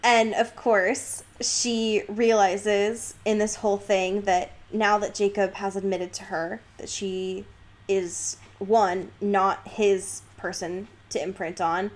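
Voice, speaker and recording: Woman, podcast co-host, mid-range pitch, casual book talk, emphatic, exasperated, slangy. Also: flat delivery, slow. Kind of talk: casual book discussion with mocking quotes.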